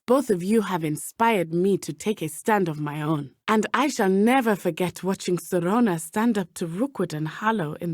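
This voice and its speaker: A woman's voice: lowish voice, darkish tone, no echo, clean studio sound. A woman with a Zimbabwean accent voicing a brave, earnest teenage witch in a video game, speaking in formal, precise phrases. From southern Africa (Matabeleland). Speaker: student companion character in a video game.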